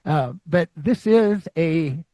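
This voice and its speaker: A man giving a public talk with slides, relaxed and rambling, with many fillers and restarts, and joking about himself. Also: fairly high pitch, slow, no echo. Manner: self-deprecating. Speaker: guest lecturer.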